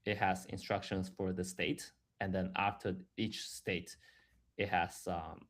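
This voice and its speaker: Man, deep voice, software presenter, explanatory walkthrough, halting delivery. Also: little pitch movement. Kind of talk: live product demo, explanatory walkthrough.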